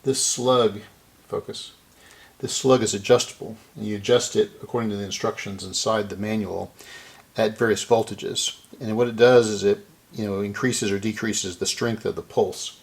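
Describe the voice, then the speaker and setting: A man's voice: on the low side, steady tone, moderate pace, creaky. A man giving a casual hands-on repair narration, explaining and thinking aloud as he works. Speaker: repair video host.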